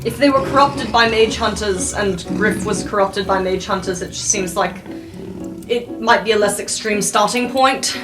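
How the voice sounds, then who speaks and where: Tentative student mage woman roleplaying, halting and polite, roomy, music behind. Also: medium pitch, natural voice.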